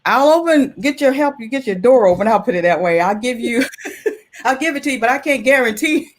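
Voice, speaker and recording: A woman's voice: on the high side, expressive, a little fast. An older woman, over sixty, speaking as an interview guest in an earnest, conversational way, repeating phrases for emphasis. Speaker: illustrator and artist, interview guest. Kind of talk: casual interview chat.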